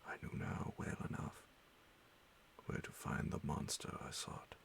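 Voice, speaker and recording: A man reading a horror bedtime story in ASMR style, hushed and half-whispered, breathy and gravelly, with dramatic pauses. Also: slight background noise. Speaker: audiobook narrator.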